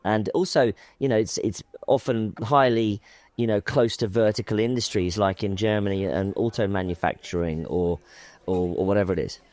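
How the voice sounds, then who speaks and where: A man's voice: lowish voice, normal speed, darkish tone. A man moderating a conference panel, asking questions in a hesitant way with many "um" and "you know". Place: conference stage.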